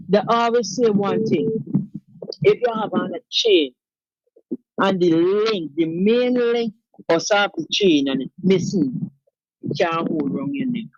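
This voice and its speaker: A woman's voice: on the high side, darkish tone, no echo, lo-fi sound. A Guyanese woman calling in to a talk show, speaking emphatically and preaching her point. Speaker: caller. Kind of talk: call-in commentary. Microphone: phone call.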